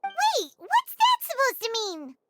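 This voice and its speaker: A woman voicing a small, naive, excitable floating fairy girl in a video game, with a squeaky, childlike, expressive voice. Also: slow, loud music behind the voice, exaggerated voice. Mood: puzzled, indignant. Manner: exaggerated, expressive. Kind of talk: video game character dialogue.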